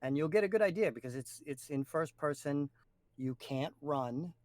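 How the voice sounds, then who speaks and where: A man's voice: fairly high pitch, expressive, moderate pace, a little mellow, dry recording. Man chatting, close dry sound. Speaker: podcast host. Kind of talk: casual chat, explaining.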